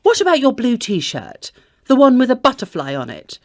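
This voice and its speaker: A woman's voice: on the high side, wildly swooping pitch, moderate pace, pitched above the performer's own voice. A woman playing a firm, coaxing mother in a children's story. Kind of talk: children's audio story acting.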